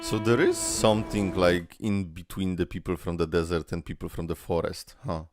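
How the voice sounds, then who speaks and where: A man's voice: low-pitched, steady tone, moderate pace, over loud music. A young man casually reacting to game trailers, rambling, swearing and laughing between thoughts. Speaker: reaction video creator.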